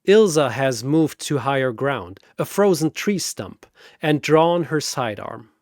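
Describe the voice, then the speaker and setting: A man's voice: mid-range voice, lively intonation, slow pace, darkish tone, dry recording, studio quality. A man narrating a scripted fantasy battle story in a dramatic storytelling style.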